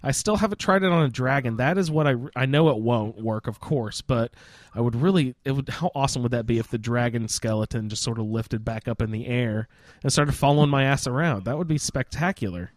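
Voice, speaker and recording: A man's voice: mid-range voice, fairly quick, dry recording. A man chatting casually and enthusiastically as a panelist on a gaming roundtable podcast.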